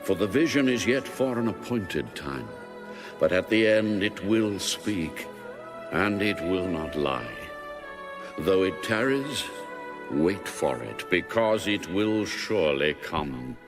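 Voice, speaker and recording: Slow, low-voiced man over music. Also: lively intonation. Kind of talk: formal scripture narration. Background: music behind the voice.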